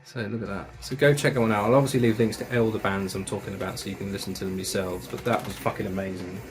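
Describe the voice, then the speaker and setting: A man's voice: on the low side, fairly even intonation, fast talker. A man casually reviewing metal records and tapes, enthusiastic and chatty, with slang and swearing.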